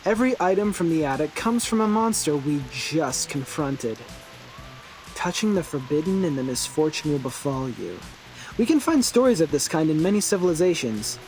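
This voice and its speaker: A man's voice: a little high, sing-song, moderate pace, a little mellow, soft background music, rough recording. An actor playing an eccentric, theatrical young man who loves horror, in a video game. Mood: scheming. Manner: theatrical, teasing, grandiose. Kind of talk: English video game character acting.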